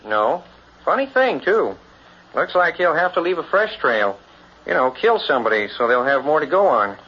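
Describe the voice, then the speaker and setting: An actor playing a plain-spoken, hesitant small-town man in a 1960s radio drama, husky and folksy. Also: a little high, sing-song, moderate pace. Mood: concerned. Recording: muffled vintage radio recording.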